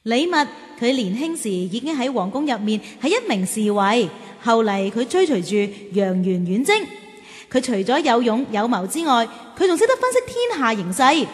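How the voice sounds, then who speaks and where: High-voiced, patient class teacher. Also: female voice, big pitch swings, normal speed, breathy edge, no echo. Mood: explaining. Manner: gently chiding, explanatory. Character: patient young woman class teacher guiding cartoon calves. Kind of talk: children's radio drama acting, storytelling.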